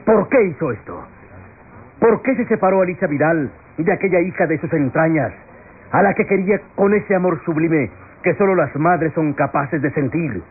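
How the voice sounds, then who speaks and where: Radionovela defender: impassioned, rhetorical, pleading, slightly high voice on a thin vintage broadcast. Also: male voice, expressive, normal speed, slightly rough. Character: earnest, pleading male defense lawyer addressing a jury. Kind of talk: classic radio drama courtroom oratory. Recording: tinny, noisy old radio recording.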